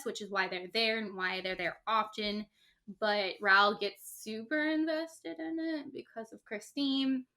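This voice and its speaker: A woman's voice: on the high side, sharp tone. A woman co-hosting a casual podcast, retelling a story with lots of fillers and acted-out quotes. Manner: rambling, many fillers, acts out quotes. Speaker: podcast co-host.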